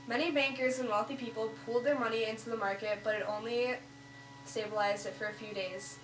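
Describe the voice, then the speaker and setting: Hummy, echoey room. Brisk period co-anchor played by a woman, quick scripted delivery. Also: fairly high pitch, steady tone, over music, natural voice. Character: brisk female 1920s news co-anchor. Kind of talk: scripted amateur mock newscast. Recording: roomy distant mic, noisy hum.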